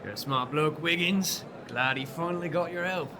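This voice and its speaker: A man's voice: fairly high pitch, normal speed, natural voice. A man playing a bumbling bobby. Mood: chatty. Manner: folksy, easygoing, chatty. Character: kindly bumbling London police officer, adult man. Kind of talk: audio drama acting. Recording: clear background noise.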